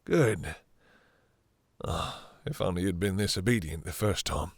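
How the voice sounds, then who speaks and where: A man playing a possessive, domineering vampire master in an intimate roleplay audio drama, with slow, theatrical, condescending menace and a breathy, seductive purr. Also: low voice, expressive, no room sound, full character voice. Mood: commanding, menacing.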